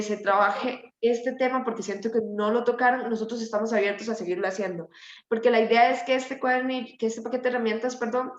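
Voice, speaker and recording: A woman with a Costa Rican accent giving an informal explanatory presentation over an online call. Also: fairly high pitch. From Costa Rica. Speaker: presenter, climate activist. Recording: dull, echoey online call audio.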